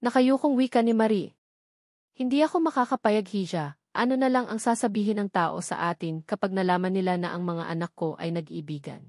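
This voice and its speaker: A woman narrates a romance story in the first person, reading from a script. Her voice sits at a medium pitch. The sound is dry, with hardly any room in it. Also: a little fast, a little mellow, no room sound. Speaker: audiobook narrator.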